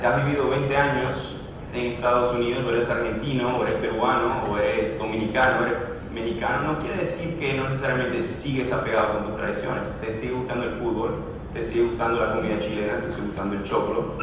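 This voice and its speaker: A man's voice: medium pitch, steady tone, fairly quick. A man giving a conference presentation, explaining at length with frequent hesitations and restarts. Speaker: conference speaker. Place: large conference room. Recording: echoey hall, distant mic, background noise.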